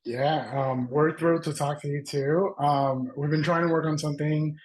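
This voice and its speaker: A man's voice: fairly high pitch, steady tone, normal speed. A man from Brazil, a native Portuguese speaker, hosting a casual celebrity interview in a gushing, admiring way.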